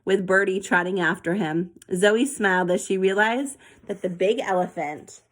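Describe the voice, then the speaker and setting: A woman reads a children's story aloud in a mid-pitched voice. Her delivery is expressive and animated, as a storybook narrator. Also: moderate pace. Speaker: storybook reader.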